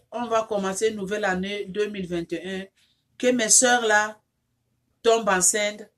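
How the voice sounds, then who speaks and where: A woman leading a heartfelt prayer and appeal to her online audience, in French that is not her first language. Her voice sits a little high, and she circles back over the same words with fillers and restarts. As the prayer builds, she grows loud and insistent. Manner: repetitive, fervent, with many restarts and fillers; rises into loud insistent pleading when praying. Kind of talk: informal prayer and appeal to viewers.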